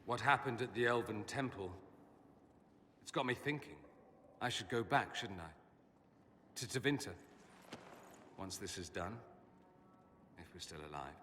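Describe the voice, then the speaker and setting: A bright, forward male voice as a theatrical mage in a fantasy game. He is wry and polished, an idealist who longs for his homeland. The voice rings with hall-like reverb. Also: lowish voice, expressive, unhurried, pauses often, slight background noise. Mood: brooding, earnest. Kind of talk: dramatic game dialogue.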